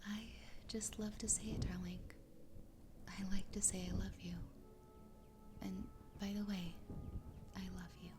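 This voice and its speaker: A woman playing an adoring, obsessive fox girl wife in an ASMR roleplay, whispering breathily and close to the microphone in a slow, intimate, soothing way. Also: medium pitch, little pitch movement, over music, close to an everyday voice. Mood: soft, adoring. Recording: close whisper with clear background noise.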